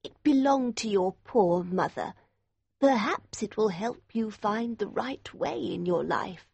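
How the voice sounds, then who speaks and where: A woman playing a stern, pious older aunt in an audiobook, with a raspy, creaky voice and a solemn manner. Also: medium pitch, slow pace, a little mellow, dry recording, exaggerated voice. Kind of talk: audiobook character reading.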